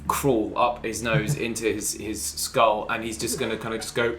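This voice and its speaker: A man's voice: lowish voice, moderate pace, fairly bright tone, a little hiss or hum. A man improvising casually as a tabletop game player, hesitant and self-deprecating.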